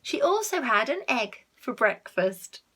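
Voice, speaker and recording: A woman reading a picture book aloud to children, expressive, with asides to the listeners and hushed tones for effect. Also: on the high side, measured pace. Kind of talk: animated read-aloud with asides to young listeners.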